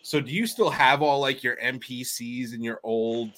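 A man's voice: medium pitch, fairly even intonation, normal speed, a crowd in the background. Easygoing male podcast host. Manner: easygoing, laughs often, riffs in bits. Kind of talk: casual podcast chat.

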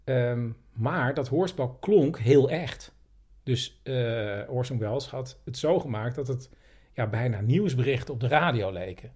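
A man with a husky voice chatting casually as a podcast host, hesitantly searching for his words. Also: medium pitch, lively intonation, unhurried, darkish tone. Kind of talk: casual storytelling chat.

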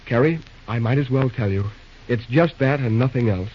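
Man acting husky, halting young railroad boss. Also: medium pitch, close to an everyday voice. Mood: shy, tender. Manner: hesitant, folksy, halting delivery. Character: glum young railroad boss, shyly lovesick. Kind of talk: old-time radio drama acting. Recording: dull, lo-fi old radio recording.

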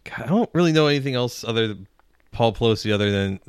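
A man's voice: mid-range voice, lively intonation, fast, clear, resonant tone, no room sound, clean studio sound. A man co-hosting a podcast, chatting casually in rambling, joking riffs. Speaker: podcast co-host.

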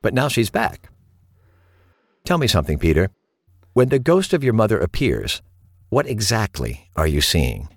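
An actor playing an earnest, courteous host of a late-night paranormal radio show, speaking in a measured, solemn and reassuring way. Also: male voice, lowish voice, big pitch swings, no room sound, studio quality. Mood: attentive, sympathetic. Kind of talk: late-night radio monologue and call-in hosting.

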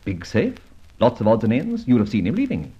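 An actor playing a cocky, quick-witted gentleman adventurer in a radio drama, with a breezy, wry, theatrical delivery. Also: medium pitch, wildly swooping pitch, husky, exaggerated voice. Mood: brisk, teasing. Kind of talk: old-time radio drama acting and narration. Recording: muffled old radio recording, music behind.

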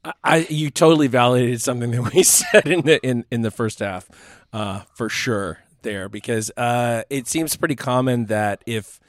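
A man's voice: medium pitch, normal speed, no echo, clean studio sound. A man in his fifties co-hosting a podcast, affable and laughing easily, stumbling a little as he restarts. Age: 50s. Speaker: podcast co-host. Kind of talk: casual podcast interview.

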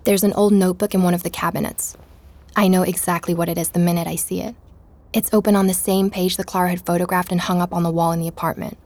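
A woman's voice: on the low side, flat delivery, fast talker, a little mellow. An actress playing an introspective young woman who narrates her own investigation in a scripted first-person audio drama. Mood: observant, intent. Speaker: narrator and protagonist.

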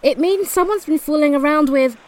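A woman playing a prim, earnest young spellcaster with a ladylike, deliberate manner in an audio drama. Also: high-pitched, darkish tone, slight background noise, natural voice. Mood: prim, teasing.

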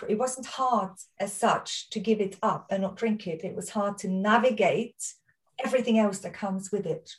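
A woman's voice: on the high side, darkish tone, recorded from a distance, poor audio. A woman from Austria with an Austrian accent, a podcast guest talking earnestly in casual chat. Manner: earnest, storytelling.

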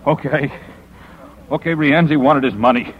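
A man's voice: a little high, steady tone, normal speed, natural voice. An actor playing a nervous, evasive man under interrogation in a 1950s radio drama, stammering and hesitant, on a dull old recording. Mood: cornered, nervous.

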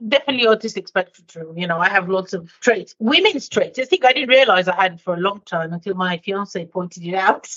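A woman's voice: medium pitch, animated, brisk, hardly any pauses, a bit bright, lo-fi sound. A woman born in Romania, a guest in an interview, speaking directly and candidly in casual chat.

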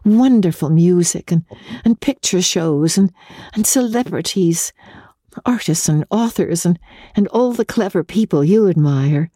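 A woman voicing an earnest, gentle young society fiancee in a dramatic audiobook reading, with hesitant, wistful enthusiasm. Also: on the low side, expressive, a little slow, dry recording, studio quality.

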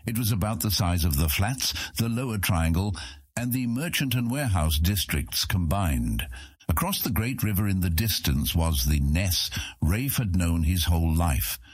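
A man narrating a scripted fantasy audiobook as a measured, expressive storyteller. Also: deep voice, breathy edge, no room sound.

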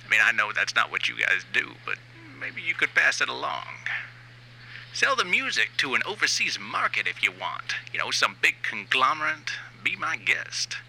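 A man performs a brash, aging new wave rocker who cares only about money, in a satirical audio monologue. His voice is very bright and forceful with a gravelly creak, swinging up and down as he rants with sardonic emphasis. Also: mid-range voice, big pitch swings, normal speed, slight background noise, full character voice, rough recording. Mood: flippant, cynical. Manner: sardonic, emphatic, profane, ranting.

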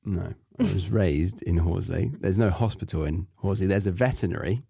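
A man's voice: deep voice, normal speed, gravelly, warm tone, breathy edge, dry recording, clean studio sound. A man from England hosting a podcast, chatting casually in a playful, jokey way. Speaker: podcast host. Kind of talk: casual chat.

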